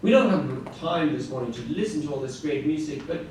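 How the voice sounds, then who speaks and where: A middle-aged man from Norway giving a conference talk as a classical guitarist, telling stories in an animated way with frequent restarts and "right?" asides. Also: fairly high pitch, a little fast. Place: large echoey conference room. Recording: echoey room, distant mic, faint noise.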